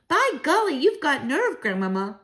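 A woman voicing an excitable young boy turned mouse, eager and full of exclaimed questions, in a storybook reading. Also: sits high, moderate pace, voice pushed up. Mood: amazed, curious.

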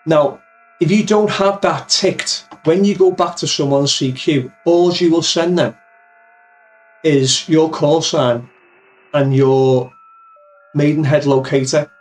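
A man's voice: on the high side, steady tone, slow, far from the mic, faint music behind. A man explaining things step by step in a casual screen tutorial, informally repeating points for emphasis. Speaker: amateur radio operator giving tips. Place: indoors, voice-over of screen recording.

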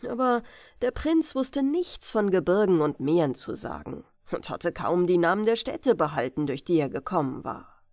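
A woman narrating a bedtime fairy tale as an audiobook, measured and careful in her storytelling. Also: on the low side, big pitch swings, darkish tone, dry recording, clean studio sound.

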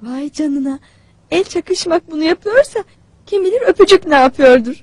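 A woman's voice: high-pitched, lively intonation, normal speed, darkish tone, no echo. A woman playing an earnest, thoughtful young female squirrel freedom fighter in a cartoon dub, speaking with care. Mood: delighted, affectionate. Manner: earnest, careful. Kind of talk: animated cartoon dub acting.

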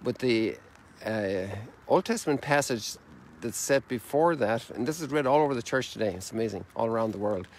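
A man's voice: medium pitch, expressive, normal speed. An older man in his sixties speaks as a priest hosting a livestream. He chats and reflects in a preaching vein, outdoors with some faint ambient noise behind him. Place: outdoors on a lakeshore.

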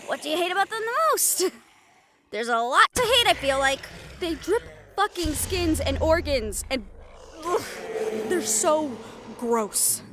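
A woman's voice: high-pitched, animated, measured pace, noisy background. A woman voice-acting a tough young fighter in a fantasy action audio roleplay, with dramatic, sweary banter.

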